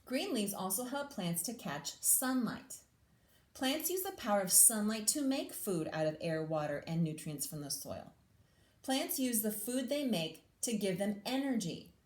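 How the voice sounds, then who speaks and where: A woman's voice: mid-range voice, sing-song, measured pace, a little mellow. A woman teacher reading a picture book aloud to children, adding simple explanations and questions for her young listeners. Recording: echoey room sound.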